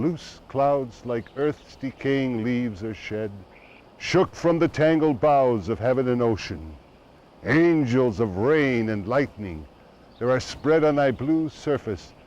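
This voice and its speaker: A man giving a dramatic poetry recitation in a solemn, declamatory manner with deliberate phrasing. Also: mid-range voice, a little mellow, highs cut off. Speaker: poetry reader.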